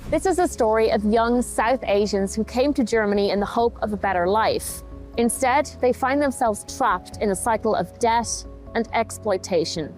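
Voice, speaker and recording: A woman reporter giving clear, direct scripted narration for a news piece. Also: fairly high pitch, moderate pace, darkish tone, soft background music.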